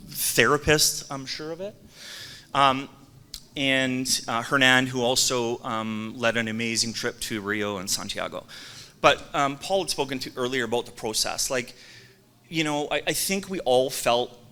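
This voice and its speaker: A man's voice: medium pitch, sharp tone. A man in his forties giving a hall of fame induction speech, teasing his old teammates with a joking manner and many fillers. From Canada. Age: 40s.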